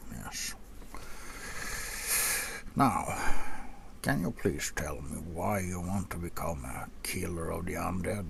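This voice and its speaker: A man playing a gruff, seasoned old vampire hunter in a softspoken ASMR roleplay, half-whispering in a folksy, rambling way to a rookie. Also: slight background noise, full character voice. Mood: weary, welcoming. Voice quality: low, gravelly, breathy half-whisper. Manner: unhurried, folksy, rambling with musing pauses.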